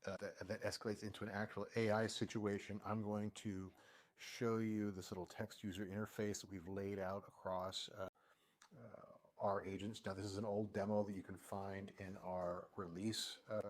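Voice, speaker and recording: Male engineer, low register, informal product walkthrough, somewhat hesitant delivery. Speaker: principal engineer presenting a product demo. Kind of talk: informal tech demo walkthrough.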